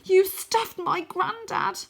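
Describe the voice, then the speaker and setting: A woman's voice: very high-pitched, takes it slowly, gravelly, fairly bright tone, voice pushed up, full character voice. A woman voicing an earnest young boy in a storybook, scared but plucky and polite. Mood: indignant. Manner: hesitant, earnest pleading.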